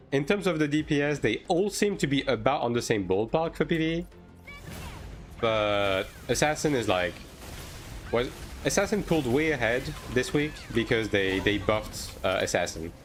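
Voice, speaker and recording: A man from France who grew up speaking French talks casually as a game streamer. His voice sits at a middle pitch, and he speaks informally, often checking in with a tag question. Music plays faintly behind him. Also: expressive, moderate pace. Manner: informal, hedging, tags sentences with "right?". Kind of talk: casual livestream chat answering viewer questions.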